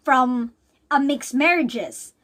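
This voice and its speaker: A young Filipino woman whose first language is Bisaya, answering a pre-test question in English in a halting way, often restarting words and reading from notes. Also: high-pitched, lively intonation, a bit bright. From Philippines. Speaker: student. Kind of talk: recorded pre-test answer, half read.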